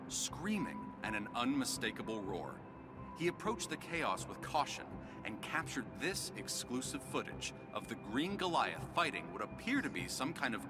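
Earnest male field reporter played by an actor, lively dramatic newscast delivery for a game, music underneath. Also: mid-range voice, natural voice. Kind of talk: dramatic live news report.

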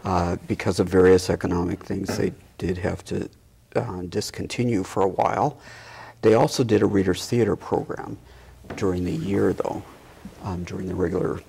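A middle-aged man with a gravelly, husky voice, explaining things in a halting, measured way as an interview guest. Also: sits low, a little mellow. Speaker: theatre board member and director, guest. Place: small TV studio, seated at a table. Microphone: lapel mic.

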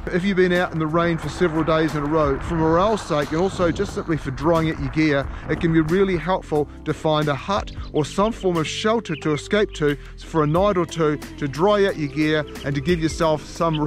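A man, giving encouraging camping tips to camera outdoors by his tent. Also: fairly high pitch. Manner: upbeat, encouraging, direct to camera. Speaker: outdoor channel host. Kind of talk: instructional outdoor vlog. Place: outdoors on a mountain meadow by a tent. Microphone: camera at arm's length.

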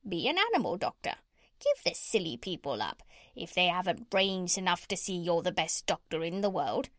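A woman's voice: fairly low pitch, sing-song, moderate pace, a bit bright, dry recording, clean studio sound. A woman voicing a proud, bossy female parrot in a children's storybook, speaking in an emphatic, instructive way. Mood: insistent. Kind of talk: children's storybook character reading.

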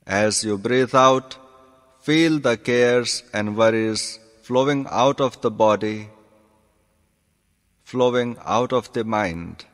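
A male yoga teacher guides a meditation in a calm, deliberate manner. His pitch sits in the middle range and he speaks very slowly, in short measured phrases. The recording carries a long, hall-like reverb.

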